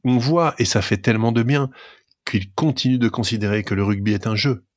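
A man's voice: medium pitch, brisk, no room sound, studio quality. A man co-hosting a sports podcast, talkative and emphatic as he gives his opinions in casual discussion. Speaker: rugby podcast co-host.

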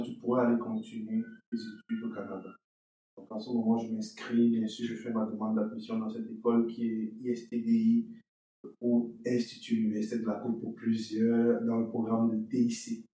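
A man's voice: medium pitch, flat delivery, moderate pace, warm tone. A young man from Cameroon, a podcast guest telling his story in an earnest way and repeating words as he thinks. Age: young adult. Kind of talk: conversational interview. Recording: echoey distant room sound.

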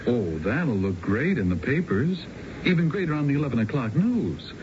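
An actor playing a brash small-time crook just out of jail in a radio drama, mocking and wheedling. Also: male voice, medium pitch, big pitch swings, normal speed, close to an everyday voice. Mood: mocking, sly. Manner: mocking, ingratiating. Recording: muffled, noisy 1970s radio broadcast.

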